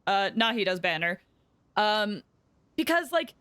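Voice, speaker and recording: A woman livestreaming games, chatting casually with viewers and reacting in an excitable, expressive way. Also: medium pitch, unhurried, clean tone. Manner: excitable, expressive, often bursting into squeals and laughter. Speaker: VTuber livestreamer, game streamer. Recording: loud music behind the voice. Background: music.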